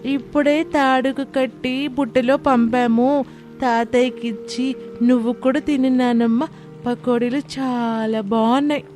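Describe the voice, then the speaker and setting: A woman's voice: sits high, fairly even intonation, measured pace, a little mellow, soft background music. A woman voicing an innocent, affectionate young child, earnest and childlike, in a moral story. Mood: eager. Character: innocent, affectionate young grandchild missing grandmother. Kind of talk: dramatised Telugu moral story.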